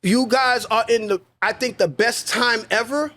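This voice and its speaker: Podcast co-host, man, high pitch, emphatic and repetitive. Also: measured pace, pushed and bright. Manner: emphatic, preachy, repeats phrases for stress. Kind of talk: casual podcast conversation.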